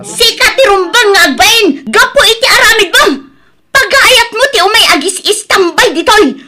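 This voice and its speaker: A woman's voice: very high-pitched, lively intonation, normal speed, a crowd in the background. A woman acting as an angry young wife who scolds her husband and leaves him, in a tearful, accusing Ilocano drama voice.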